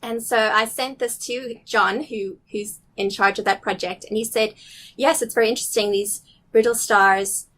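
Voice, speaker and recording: A woman's voice: on the high side, steady tone, normal speed, fairly bright tone. A middle-aged woman from South Africa with a blended international accent, slightly Canadian, telling nature stories eagerly and explaining with small restarts in a casual interview. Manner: enthusiastic, curious, explains carefully with small restarts. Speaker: guest, nature recorder. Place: restaurant or office in a city.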